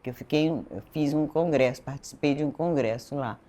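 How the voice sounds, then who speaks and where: A woman's voice: deep voice, fairly quick, mellow. A woman in her seventies from Pernambuco, Brazil, telling her life story in an interview, meandering and correcting herself as she goes. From Recife, Pernambuco, Brazil. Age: 70s. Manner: meandering, self-correcting storyteller who acts out dialogue. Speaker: French teacher and school director, guest.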